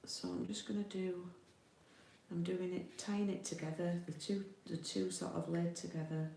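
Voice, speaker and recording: A woman's voice: fairly low pitch, flat delivery, moderate pace, creaky, mellow. A woman with a Yorkshire accent giving a casual live craft tutorial, chatty and informal, thinking aloud as she works. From Yorkshire, England. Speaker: card-making demonstrator, host. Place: indoor room with a window. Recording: roomy, distant mic, faint noise, clicks.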